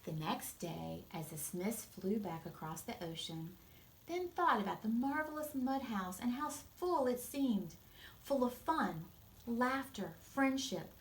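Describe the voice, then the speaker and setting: A woman reading a storybook aloud and explaining it to children in an expressive, gentle way. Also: a little high, slight background noise, low-quality recording.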